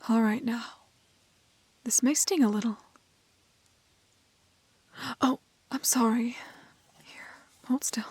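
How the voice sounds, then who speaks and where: A woman playing a prim, plucky, kind-hearted young woman in a fairy-tale roleplay, with soft voice and proper, old-fashioned phrasing. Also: fairly high pitch, fairly even intonation, slow, long pauses, slightly rough, no room sound. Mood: tender, reassuring. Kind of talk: romantic fantasy roleplay audio acting.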